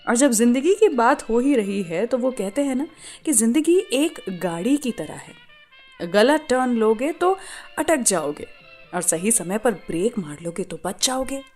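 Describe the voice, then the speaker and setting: A woman's voice: fairly high pitch, animated, unhurried, darkish tone, faint music behind. A woman with an Indian accent hosting a radio show, telling stories in a scripted but conversational way, putting questions to listeners and mixing in English phrases.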